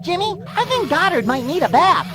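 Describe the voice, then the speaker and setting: A woman's voice: very high-pitched, sing-song, moderate pace, heavy background noise. A woman voicing a spirited, cheeky teenage boy hero in a cartoon video game, casual in manner. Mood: teasing.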